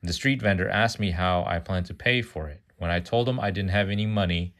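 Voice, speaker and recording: A man with a low-pitched voice reads a storybook aloud for a child. He sometimes trips on a word and fixes it, and he slips in casual asides about the story. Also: brisk, fairly bright tone. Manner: stumbles over words and corrects himself.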